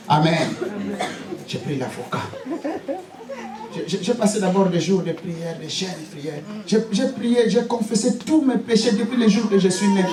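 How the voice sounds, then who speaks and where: A man from Congo preaching a fervent sermon and giving personal testimony, punctuating it with repeated hallelujahs, sometimes shouting, sometimes stumbling and repeating words. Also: high voice. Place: church. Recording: roomy church hall, mic at a distance, congregation audible. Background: congregation responding.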